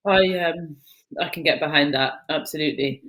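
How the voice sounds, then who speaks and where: A woman's voice: low voice, moderate pace, a bit bright, a little hiss or hum, low-quality recording. A woman moderating a festival panel, putting questions hesitantly with many ums and restarts.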